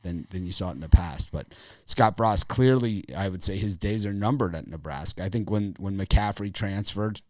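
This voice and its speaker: A man with a raspy voice hosting a sports betting show, talking casually in a rambling, opinionated way with lots of fillers. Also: low-pitched, moderate pace, few pauses, no room sound.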